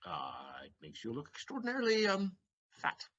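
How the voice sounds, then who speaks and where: An actor plays a quick-witted young servant with a husky, fairly high voice. He talks in a flowery, theatrical way. The sound is dull and lo-fi, like a stage recording. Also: wildly swooping pitch, sharp tone, exaggerated voice. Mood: flustered. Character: Figaro, witty excitable young manservant, male. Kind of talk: stage audio play acting.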